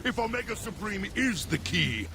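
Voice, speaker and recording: An actor playing a tyrannical, arrogant robot warlord in a video game, with an imperious, contemptuous, theatrical delivery. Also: male voice, high-pitched, sing-song, moderate pace, creaky, background noise, full character voice. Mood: cold contempt.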